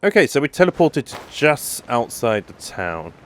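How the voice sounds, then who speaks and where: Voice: mid-range voice, big pitch swings, normal speed. A gamer giving casual let's play commentary, musing aloud and reacting in short murmured asides. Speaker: gaming video creator. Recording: game music behind the voice.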